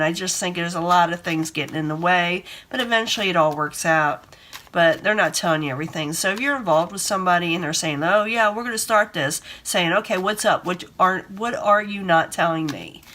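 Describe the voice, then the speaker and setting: A woman reading tarot cards in a casual, rambling way, hoarse from a cold and breathing hard. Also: lowish voice, pushed and bright. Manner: rambling, repeats herself, gives advice. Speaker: tarot reader.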